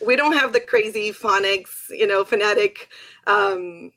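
A woman's voice: mid-range voice, a little slow, a bit bright, rough recording. A woman whose first language is Portuguese, a podcast guest and Hebrew teacher, telling stories animatedly in casual conversation.

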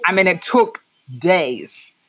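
A woman with a London British accent telling stories as an interview guest, in an expressive, emphatic conversational way. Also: on the low side, slow pace. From London, England. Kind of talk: reflective conversational storytelling.

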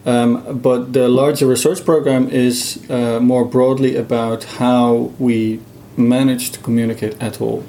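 A man's voice: medium pitch, flat delivery, measured pace, warm tone. A middle-aged man, a native Dutch speaker, explaining his linguistics research in a thoughtful interview with many fillers.

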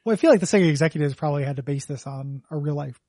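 Deadpan guy cohosting a comedy podcast, gravelly and husky, lots of ums, dry sound. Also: a little high, rapid-fire, no room sound. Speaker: podcast co-host. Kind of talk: casual comedy chat.

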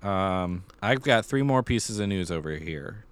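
A man's voice: low voice, expressive, a little slow, clear, resonant tone. A man hosting a podcast, talking casually through theater news and thinking aloud as he goes. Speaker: podcast host.

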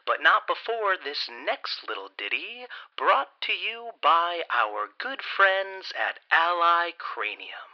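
An actor playing a chirpy morning radio DJ heard through a radio in an audio drama. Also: male voice, fairly high pitch, very animated, slow, few pauses, full character voice. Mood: breezy. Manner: breezy DJ patter. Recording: dull lo-fi radio broadcast.

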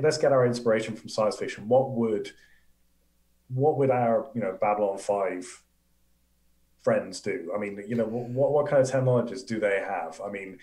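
A man's voice: on the low side, darkish tone, roomy sound, poor audio. A man with an English accent, an astrophysicist guest chatting eagerly in a live Q&A and laughing often. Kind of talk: casual live Q&A chat.

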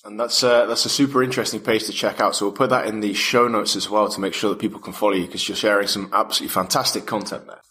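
A man's voice: fairly low pitch, steady tone, fast talker, few pauses, bright, forceful tone. Upbeat male podcast host. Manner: upbeat, enthusiastic intros. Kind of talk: podcast interview.

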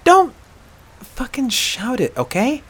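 A fierce fantasy huntress, played by a man, hot-tempered and brash. The voice sits high and swings widely in pitch, breaking into exasperated, stammering, shouted outbursts. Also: very animated, normal speed. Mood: agitated, reluctant. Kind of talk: dramatic fantasy audiobook character voice.

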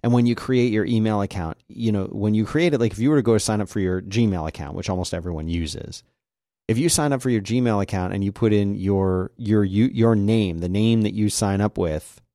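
A man's voice: lowish voice, a little fast, mellow, dry recording, clean studio sound. A man co-hosting a podcast in casual chat, rambling with frequent uhs and restarts. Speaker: podcast co-host.

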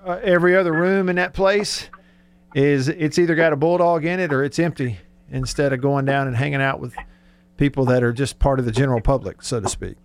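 A man's voice: medium pitch, fairly quick, smooth, no echo. A man hosting a casual sports radio show, conversational and thinking aloud as he goes.

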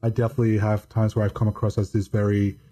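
A man guesting on a podcast, talking in a deadpan monotone and often stopping to restart his sentences. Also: lowish voice, quick pace. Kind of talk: casual chat.

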